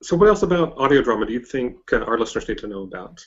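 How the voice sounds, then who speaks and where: A man's voice: medium pitch, animated, rapid-fire, lo-fi sound. A man hosting a casual interview, speaking in an informal, agreeable and somewhat hesitant way. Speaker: podcast interviewer.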